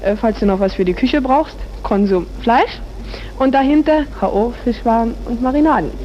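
High-voiced woman, dull noisy 1950s recording. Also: animated, normal speed. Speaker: radio reporter. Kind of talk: chatty 1950s radio street reportage.

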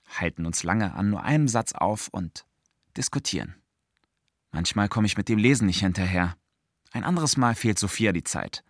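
An actor playing a bookish, idealistic young man in a radio drama, speaking in a calm, understated, pensive way. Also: low voice, no echo, studio quality. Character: Friedrich, bookish idealistic young male friend. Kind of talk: radio drama narration and dialogue.

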